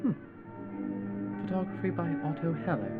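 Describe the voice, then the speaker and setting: A man's voice: on the high side, big pitch swings, moderate pace, narrow, tinny audio, over loud music. A man playing a possessive, mocking yandere captor in an ASMR roleplay, with a drawling, theatrical, condescending manner. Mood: musing, idle. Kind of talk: scripted ASMR roleplay audio drama.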